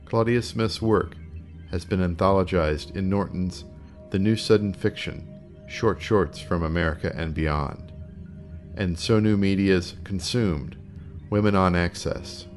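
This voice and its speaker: A man's voice: low voice, measured pace, faint music behind. A man reading scripted announcements as a podcast presenter.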